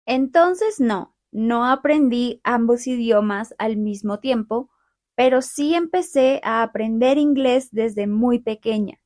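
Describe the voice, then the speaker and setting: Young Colombian woman host, bright-ish voice, dry close mic, calm clear delivery. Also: a little high, no echo. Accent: Colombian, Bogotá. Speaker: podcast host. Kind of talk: friendly podcast chat for Spanish learners.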